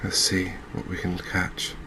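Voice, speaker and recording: Deep-voiced man, quiet ASMR gaming commentary. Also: completely flat delivery, measured pace, noisy background. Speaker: host of a gaming channel. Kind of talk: soft-spoken ASMR game commentary.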